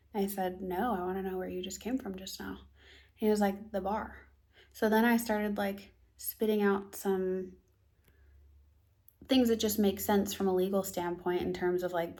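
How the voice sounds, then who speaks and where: A young American woman telling a tense personal story in a casual vlog, animated and often dropping to a hushed near-whisper. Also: mid-range voice, gravelly. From United States. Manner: animated storytelling, acts out both sides of a dialogue.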